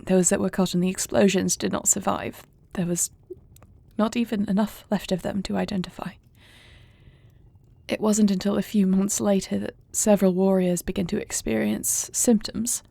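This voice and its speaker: A woman playing a young dragon princess and dutiful heir in an intimate audio roleplay, speaking in a formal, measured, soft-spoken manner. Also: medium pitch, little pitch movement, creaky, no room sound. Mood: somber, subdued.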